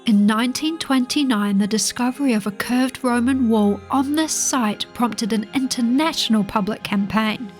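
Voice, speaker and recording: A woman's voice: fairly high pitch, normal speed, hardly any pauses. A woman with a British accent, guiding a history tour with scripted narration. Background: light guitar music.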